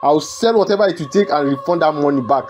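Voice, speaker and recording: A man's voice: a little high, fast, darkish tone, a little hiss or hum, close to an everyday voice. A man with a Nigerian accent playing a desperate poor husband in a film drama, stammering and repeating his words. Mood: indignant, defiant. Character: desperate poor husband entangled in a money ritual. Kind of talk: melodramatic film acting.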